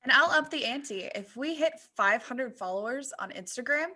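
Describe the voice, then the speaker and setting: A woman with a high, bright voice co-hosts a comedy podcast. She banters casually and jokingly with the others. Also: expressive, normal speed.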